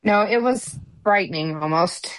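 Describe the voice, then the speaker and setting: A woman's voice: mid-range voice, normal speed, dry recording, rough recording. A middle-aged woman speaking bluntly and emphatically in a candid podcast chat, often restarting her sentences.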